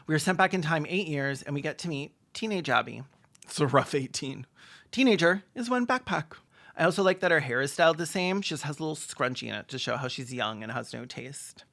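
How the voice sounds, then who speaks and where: A medium-pitched commentator delivers sarcastic film commentary. The manner is campy and mocking, with dry asides played for comedy. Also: animated, fairly quick, creaky, a bit bright. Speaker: video essayist, film commentator.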